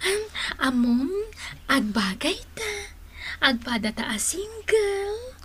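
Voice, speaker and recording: Managallukoy a balasang, nakangatngato ti timekna. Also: female voice, high-pitched, very animated, takes it slowly, a bit bright, exaggerated voice. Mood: coquettish. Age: young adult. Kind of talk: Ilocano radio drama acting.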